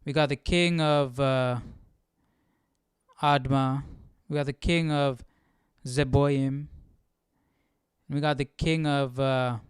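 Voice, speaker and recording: A man's voice: mid-range voice, fairly even intonation, slow, pauses often, clean tone, no room sound, studio quality. A man hosting a casual Bible study, reading aloud and informally thinking through it, often repeating himself and checking his understanding. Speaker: host of a live Bible study.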